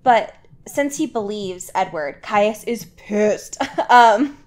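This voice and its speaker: A woman's voice: medium pitch, expressive, slow pace. A woman podcast host chatting casually and retelling a story in slangy language, acting out reactions as she goes. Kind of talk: casual, slangy chat recapping a book.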